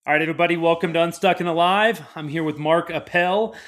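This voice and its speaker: A man's voice: fairly high pitch, fairly even intonation, quick pace. A man hosting a podcast in a casual, conversational interview style, with a jovial, self-deprecating manner. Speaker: podcast host.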